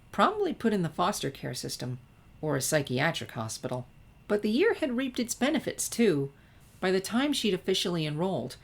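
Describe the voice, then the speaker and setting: Low-pitched woman narrating a story. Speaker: narrator, fan fiction reader.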